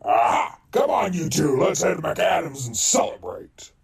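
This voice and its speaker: An actor playing a hearty, joking, heavyset robot ex-soldier turned medic in an audio drama, very expressive. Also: male voice, a little high, moderate pace, vocal fry, distant mic. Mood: jovial. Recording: roomy, off-mic, music behind.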